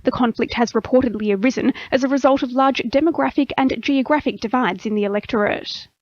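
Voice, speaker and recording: Australian woman, news reader, scripted delivery, highish pitch, slightly muffled radio audio. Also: brisk, a little mellow, dry recording. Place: dry radio studio.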